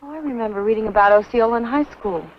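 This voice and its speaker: An actress playing a mild, sensible young woman in a 1950s B-movie, soft-spoken and understated. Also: fairly high pitch, fast, natural voice. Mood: quiet, weary. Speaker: film actress. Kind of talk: 1950s B-movie screen acting. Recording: muffled, noisy 1950s film soundtrack.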